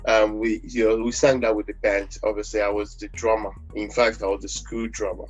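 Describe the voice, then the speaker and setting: A low, husky man with a Nigerian accent rambles through his answers with fillers and false starts. His voice comes through a dull, lo-fi recording. Faint music sits behind it. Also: flat delivery, fairly quick, no echo. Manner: rambling, many restarts and fillers. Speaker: gospel singer guest. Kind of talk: relaxed interview conversation.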